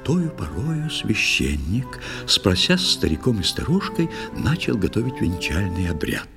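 A man narrating a verse tale in a dramatic audio play, solemn and expressive, with measured phrasing. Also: fairly low pitch, creaky. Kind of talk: dramatic verse storytelling for children. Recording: music under the voice. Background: music.

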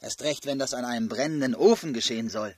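An actor playing an educated, cheerfully defiant camp prisoner in a radio play, speaking lightly and nonchalantly. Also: male voice, medium pitch, quick pace, darkish tone, no echo, natural voice. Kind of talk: German radio play acting. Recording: studio radio play, music underneath.

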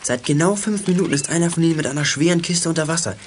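A self-assured teenage boy sleuth played by a man in a children's radio play. The voice is fairly high for a male, matter-of-fact and slangy, over clearly noisy outdoor ambience. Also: racing, lightly acted. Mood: brisk, in charge. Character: teenage boy amateur detective Michael, cool and self-assured.

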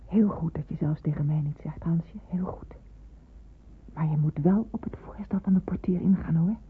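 A discreet woman confidante in a radio drama, urges in a confiding, reassuring way. Her voice is hushed, lowish and heavily breathy. The old recording is thin and phone-like. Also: moderate pace. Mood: confiding, urging. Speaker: actress in a radio play. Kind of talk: Dutch radio play acting.

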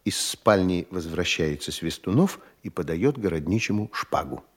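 A man's voice: low voice, expressive, moderate pace, husky. A man narrating an audio play, reading out stage directions in a scripted manner.

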